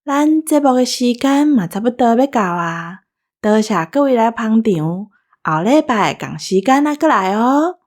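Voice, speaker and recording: A woman's voice: a little high, expressive, unhurried, a little mellow. A woman with a Taiwanese accent hosting a show, telling anecdotes conversationally. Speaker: show host.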